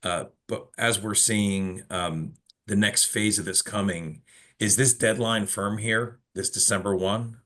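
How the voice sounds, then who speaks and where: Man, deep voice, webinar host, informal business presentation, explanatory. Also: steady tone, measured pace, fairly bright tone. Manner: explanatory, poses questions to colleague. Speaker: webinar host of a telecom company.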